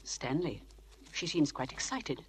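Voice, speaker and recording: An actress playing a concerned, genteel older mother, gently fussing, in 1940s radio drama style. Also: low-pitched, measured pace, slight background noise. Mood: concerned, curious. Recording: old radio broadcast, roomy reverb.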